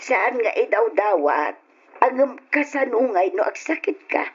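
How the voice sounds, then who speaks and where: An actress playing an older, concerned mother pleading with a grown son in an old radio drama, husky-voiced. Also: a little high, big pitch swings, measured pace, highs cut off, heavily acted.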